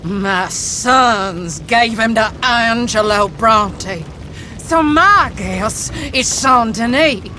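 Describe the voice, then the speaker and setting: A woman's voice: a little high, lively intonation, drawn-out words, pushed and bright, a little hiss or hum, exaggerated voice. An actress playing a bitter, venomous older matriarch in a video game, taunting as she speaks. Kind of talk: dramatic video game acting.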